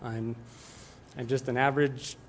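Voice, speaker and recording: A man's voice: medium pitch, level tone, unhurried, slight background noise. A middle-aged man from Colorado giving an earnest, plainspoken public comment at a meeting, often restarting his sentences.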